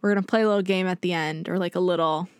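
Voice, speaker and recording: A woman's voice: lowish voice, fairly even intonation, clear, resonant tone, dry recording. Chatty young woman, dry close sound. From United States. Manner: fast, chatty, lots of "like" and laughs. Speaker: podcast co-host, makeup artist. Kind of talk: casual chat.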